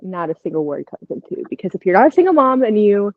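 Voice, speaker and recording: A woman's voice: mid-range voice, sing-song, fast talker, no room sound, narrow, tinny audio. A woman in her twenties co-hosting a casual podcast, telling personal stories in a candid way. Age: 20s. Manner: candid, storytelling. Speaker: podcast co-host. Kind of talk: casual chat.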